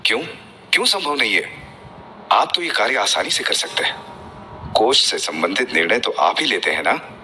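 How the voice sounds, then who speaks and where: A man playing a scheming, domineering senior royal minister in a historical drama, speaking formal Hindi in a measured, authoritative way. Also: lowish voice, sing-song, heavily acted. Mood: pressing, indignant. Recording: loud dramatic music behind.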